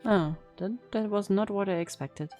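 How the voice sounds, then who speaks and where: A woman's voice: mid-range voice, moderate pace, a little mellow, soft background music. A woman streamer giving casual gameplay reactions, informal and joking as she thinks aloud. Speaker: game streamer.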